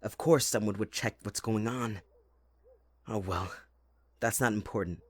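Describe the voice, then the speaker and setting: Casting: young male winter spirit, played by a man, lowish husky voice, teasing and possessive, dramatic close-up ASMR roleplay, very dry sound. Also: a little slow, dry recording. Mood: anxious, urgent. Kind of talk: intimate ASMR audio roleplay acting.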